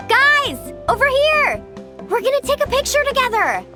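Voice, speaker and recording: A woman voicing an upbeat, caring young tour guide in a video game, chatty and animated. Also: extremely high pitch, normal speed. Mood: excited. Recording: background music.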